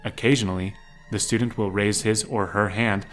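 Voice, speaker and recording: Casting: male narrator for scripted drama, fairly low voice, reading scene descriptions. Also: steady tone, moderate pace, soft background music.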